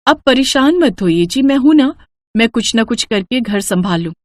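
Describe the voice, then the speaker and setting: A woman's voice: on the high side, expressive, normal speed, no room sound, clean studio sound, lightly acted. Woman acting an earnest, pleading poor village mother. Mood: reassuring. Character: poor, devout, caring village wife and mother.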